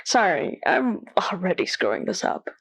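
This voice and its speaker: A woman playing a flustered, apologetic caller to a radio advice show in an audio drama, talking in a nervous, halting way. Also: on the low side, sing-song, vocal fry, breathy edge, full character voice. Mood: nervous, embarrassed. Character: flustered, apologetic young woman with a ghost girlfriend. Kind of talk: naturalistic audio drama phone-in.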